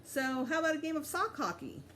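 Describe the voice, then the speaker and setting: A woman's voice: high-pitched, sing-song, fairly quick, fairly bright tone, slight background noise, voice pushed up. A woman voicing a cocky, enthusiastic school-age boy who likes to show off, in a children's storybook reading. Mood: eager. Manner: boastful, eager.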